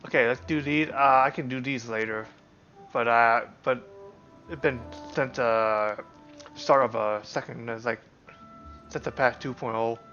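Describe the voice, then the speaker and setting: A man livestreaming a game with casual, halting commentary, thinking aloud as he plays. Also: medium pitch, fairly even intonation, muffled recording. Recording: loud game music behind the voice. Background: loud game music.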